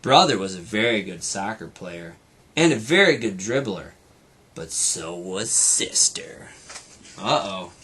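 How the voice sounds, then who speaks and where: Male teacher, storybook read-aloud, slow pace, very lively intonation, bright tone. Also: sits low, slightly rough. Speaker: teacher reading aloud to students. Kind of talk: children's storybook read-aloud with casual asides.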